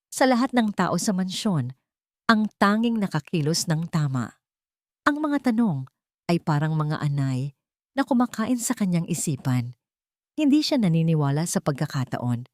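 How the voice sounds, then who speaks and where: A woman narrating a romance audio drama in a measured, grave storytelling manner. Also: deep voice, a little mellow, dry recording, clean studio sound.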